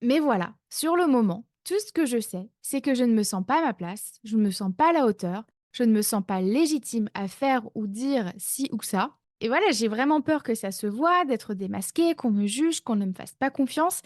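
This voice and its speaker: Woman, 30s, life-coach podcast host, higher voice, relaxed chatty delivery, dry close recording. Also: no room sound. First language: not English. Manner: chatty, self-mocking, many fillers. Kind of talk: casual podcast monologue.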